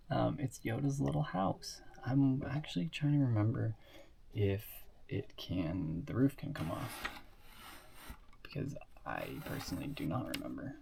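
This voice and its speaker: Sniffly guy, slow talker, kinda rambly, pitch bounces all over. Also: gravelly, a little mellow, slight background noise. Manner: rambling, with many fillers and asides. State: sick and sniffly. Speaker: hobbyist reviewing toy building sets. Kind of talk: casual show-and-tell.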